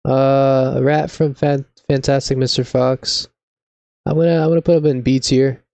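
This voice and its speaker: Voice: mid-range voice, level tone, normal speed, dark tone, no echo. A laid-back, slangy live streamer of unclear sex, chatting casually with mock seriousness. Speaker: video game live streamer.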